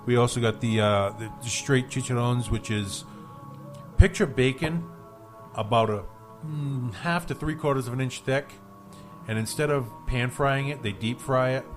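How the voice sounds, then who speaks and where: A man hosting a casual studio radio show, telling rambling food stories in a self-deprecating, joking, halting way. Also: medium pitch. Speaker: radio show host reviewing local restaurants. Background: music under the talk.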